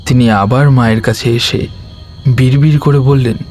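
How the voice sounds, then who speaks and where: Male narrator, medium pitch, for audio horror story. Dramatic, measured reading with pauses, light music bed. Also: steady tone, darkish tone.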